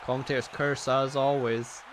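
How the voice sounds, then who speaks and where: A man's voice: medium pitch, little pitch movement, moderate pace, background noise. A man giving casual, chatty play-by-play over a wrestling video game, joking and laughing at the action, his voice a little tired. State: voice getting tired. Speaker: gaming channel host.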